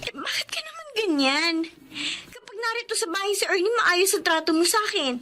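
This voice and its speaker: A woman's voice: extremely high pitch, animated, brisk, sharp tone, slight background noise, heavily acted. An actress playing a plaintive young wife bullied by her in-law, in a Filipino radio drama. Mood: hurt, protesting. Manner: plaintive, pleading.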